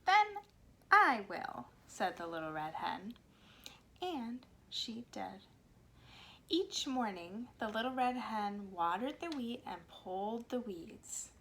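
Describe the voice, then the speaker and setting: Slow, animated woman reading to children. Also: mid-range voice. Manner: expressive, simple explanations for young children. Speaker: speech pathologist reading a children's story. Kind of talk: children's storybook read-aloud. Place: cozy corner at home. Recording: faint background noise, home recording.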